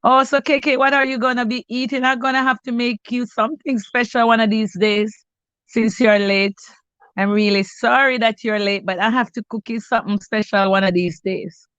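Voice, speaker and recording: A woman's voice: on the high side, steady tone, moderate pace, fairly bright tone, clean tone, dry recording, dull audio. Stuffy-nosed Jamaican woman chatting live. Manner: chatty, greets viewers by name, frequent restarts. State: stuffy, congested. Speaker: cooking channel host. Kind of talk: casual live-stream chat with viewers.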